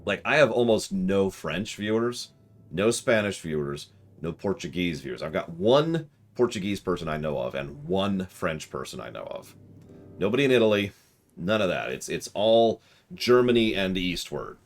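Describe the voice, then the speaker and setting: A man's voice: low-pitched, very animated, normal speed. A man streaming a game, chatting casually with viewers and rambling in a self-deprecating way. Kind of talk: casual live-stream chat while gaming.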